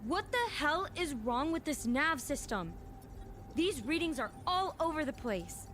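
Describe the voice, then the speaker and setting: A woman's voice: high voice, big pitch swings, measured pace, fairly bright tone, slight background noise, exaggerated voice. A woman playing a desperate, hot-tempered young female pilot in a fan-made audio drama. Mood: frustrated, irritated. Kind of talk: fan audio drama acting, first-person narration and dialogue.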